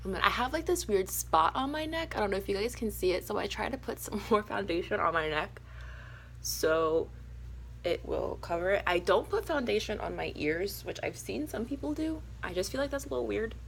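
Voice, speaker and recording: A young woman with a raspy, hoarse voice from a cold, chatting casually and a little nervously through a makeup tutorial. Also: medium pitch, animated, brisk, fairly bright tone, slight background noise. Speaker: beauty vlogger.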